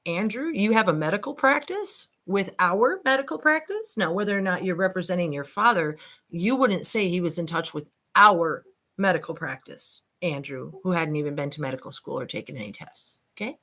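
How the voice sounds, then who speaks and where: A woman's voice: lowish voice, animated, moderate pace, bright, forceful tone. A woman reading a transcript aloud and commenting informally, addressing listeners directly with skeptical asides. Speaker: online commentator and host.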